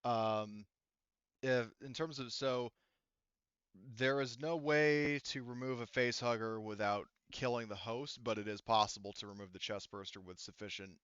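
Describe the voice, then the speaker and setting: Crisp, bright-voiced man running a game. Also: medium pitch, moderate pace, no echo, dull audio. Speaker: game master. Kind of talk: casual tabletop game narration.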